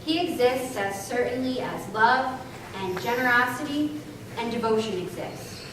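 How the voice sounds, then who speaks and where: A high-pitched woman delivers scripted lines for a readers theater. She sounds distant, in a strongly echoing space with noticeable noise. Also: expressive, unhurried. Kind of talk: scripted stage recitation. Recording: echoey hall, distant mic, noisy.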